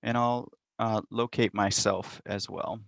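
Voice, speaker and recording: A middle-aged man giving a measured, thoughtful academic talk over a video call, with frequent ums and uhs. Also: fairly low pitch, little pitch movement, gravelly, fairly bright tone, dry recording. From Montana, United States. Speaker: university lecturer. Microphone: computer mic on a video call.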